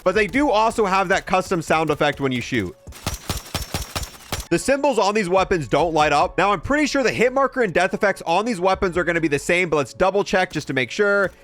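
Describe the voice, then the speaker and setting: A man's voice: high voice, expressive, fairly quick, a bit bright, a little hiss or hum. A man giving casual, offhand, wry gameplay commentary as a video game reviewer.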